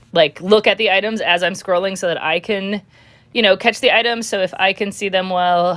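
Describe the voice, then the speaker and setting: A woman's voice: medium pitch, fairly bright tone. A young woman vlogging her shopping with a chatty, filler-heavy voice that is a little congested from a cold. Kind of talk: casual screen-recording walkthrough.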